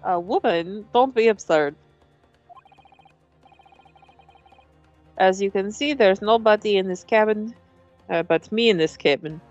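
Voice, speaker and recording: A woman voicing a gruff, suspicious old Russian man in a video game, curt and dismissive, with a put-on Russian accent. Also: medium pitch, moderate pace, muffled recording, faint music behind, lightly acted. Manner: curt, dismissive, clipped broken English.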